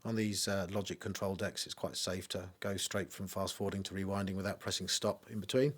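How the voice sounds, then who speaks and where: A man's voice: sits low, fairly even intonation, brisk. A man giving an informal, matter-of-fact spoken demonstration of audio equipment, explaining as he goes. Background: music played through a tape deck.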